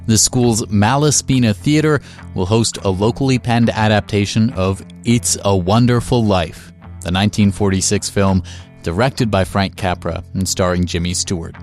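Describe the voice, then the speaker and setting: A man's voice: on the low side, darkish tone. A man hosting and interviewing for a radio programme. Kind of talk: interview with scripted introduction.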